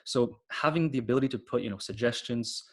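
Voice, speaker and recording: A man's voice: medium pitch, fast. Male panelist, mid-range voice, eager explainer with plenty of fillers, video-call feel. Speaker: panelist, platform founder. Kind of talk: online panel discussion.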